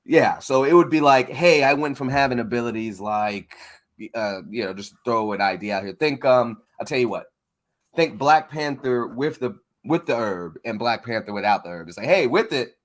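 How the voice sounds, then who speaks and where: A man hosting a show, chatting casually in an informal, halting way as he thinks out loud. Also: mid-range voice, very animated. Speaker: host of a comics breakdown show. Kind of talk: casual interview chat.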